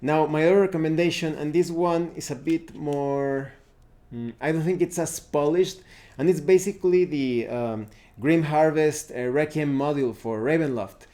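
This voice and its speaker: A man giving a casual, unscripted review of roleplaying games, pausing now and then to search for words. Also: a little high, mellow. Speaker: tabletop roleplaying game reviewer.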